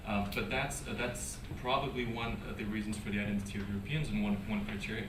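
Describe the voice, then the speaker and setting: A man's voice: fairly low pitch, steady tone, fast. A man speaking on a conference panel, hesitant, with many fillers and restarts. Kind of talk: panel discussion. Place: conference hall. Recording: echoey conference hall from a distance, background noise.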